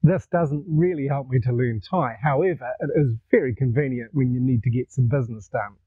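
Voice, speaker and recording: A man in his sixties with a New Zealand accent, telling stories to camera in a relaxed, conversational way on a lapel mic outdoors. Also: mid-range voice, fairly quick, phone-quality sound, noisy background. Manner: relaxed, conversational, gestures while talking. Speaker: vlogger. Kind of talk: casual storytelling to camera. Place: outdoors in a garden.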